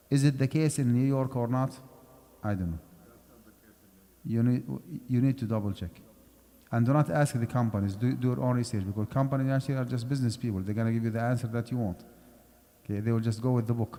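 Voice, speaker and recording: A man's voice: mid-range voice, warm tone. Man lecturing in a reverberant mosque hall. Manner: conversational, explanatory, checks in with "okay". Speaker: Islamic finance lecturer.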